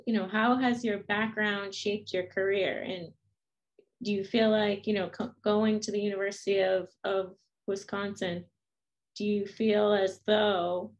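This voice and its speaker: A woman's voice: medium pitch, gravelly, poor audio. A young woman hosting a video call interview, hesitantly reading out student questions from a small room. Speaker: interview host.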